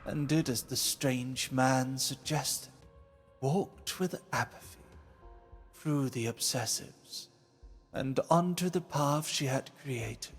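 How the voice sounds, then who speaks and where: An actor playing a grave storyteller narrating a fantasy legend in a visual novel, deliberate and formal. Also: male voice, mid-range voice. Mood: grave, dramatic. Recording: music behind.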